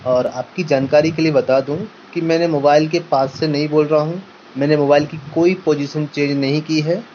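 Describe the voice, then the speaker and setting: A man's voice: on the high side, steady tone, a little fast, a little mellow, muffled recording. A man in a casual product-test talk to viewers, explaining step by step and repeating his points. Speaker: tech reviewer. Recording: noisy amateur recording with ambient background noise.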